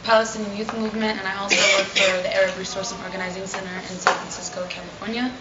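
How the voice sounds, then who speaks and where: A woman youth organizer introduces herself in an even, hesitant tone. Her pitch barely moves. The room is echoey and the recording heavy with noise. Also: fast. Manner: flat, hesitant. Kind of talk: self-introduction. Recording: echoey, dull, very noisy.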